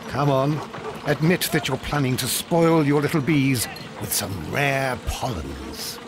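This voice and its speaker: An actor playing a loyal, wry English companion to a detective in a video game, dry and gently teasing in manner. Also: male voice, medium pitch, lively intonation, takes it slowly, background noise. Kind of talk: video game cutscene acting.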